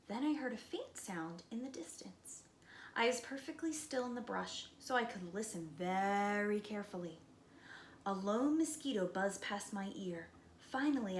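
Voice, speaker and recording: High, very animated woman reading to young children. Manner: dramatic, with slowed emphasis and hushed moments. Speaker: preschool teacher reading a picture book aloud. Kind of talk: expressive children's read-aloud. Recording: roomy echo, light background noise.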